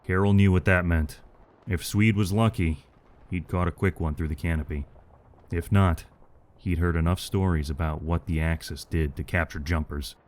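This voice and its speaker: A man giving dramatic scripted narration of a military story in a measured, grave manner. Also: low voice, fairly even intonation.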